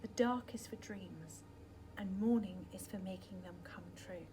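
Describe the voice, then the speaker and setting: A woman reading a picture book aloud for young children, dramatic and expressive, often dropping into a hushed, breathy tone. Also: medium pitch, unhurried, background noise.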